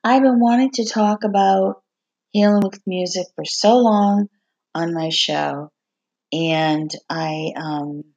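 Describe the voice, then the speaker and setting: Hoarse woman, low voice, candid reflective monologue, dull lo-fi home computer recording. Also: takes it slowly, a little mellow. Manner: open, reflective, confiding. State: hoarse, losing her voice. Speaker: podcast host, singer-songwriter. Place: her home.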